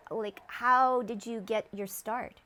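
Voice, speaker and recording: A woman's voice: a little high, fairly even intonation, slow, bright, forceful tone. A woman hosting an interview, upbeat and welcoming. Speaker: host.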